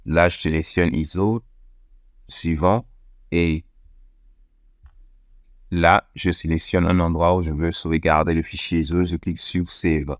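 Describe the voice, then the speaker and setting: A man with a very low voice walks through a computer tutorial one click at a time. He speaks methodically, pausing on fillers as he goes. The sound is dry and close, with no room echo. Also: level tone. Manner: step-by-step, methodical with frequent fillers. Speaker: tech tutorial presenter. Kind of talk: screen-recorded software walkthrough.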